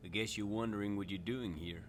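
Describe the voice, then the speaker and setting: An actor playing a cryptic, probing stranger who talks in riddles with a theatrical, taunting manner. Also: male voice, on the low side, brisk, natural voice. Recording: echoey, distant, noisy room.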